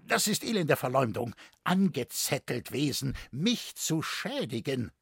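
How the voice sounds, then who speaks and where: A man's voice: medium pitch, very animated, measured pace, creaky, fairly bright tone, slightly rough, no room sound, clean studio sound, heavily acted. A man voicing a grim, defensive city chamberlain in a story, blustering with indignant protests. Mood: grim denial.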